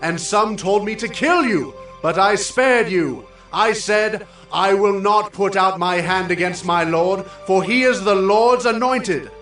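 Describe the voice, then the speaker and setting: A man acting an earnest, reverent young Israelite warrior in a dramatized Bible story, declaiming with drawn-out emphatic words. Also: high voice, fairly bright tone, reverberant, recorded from a distance, soft background music, rough recording. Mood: impassioned pleading.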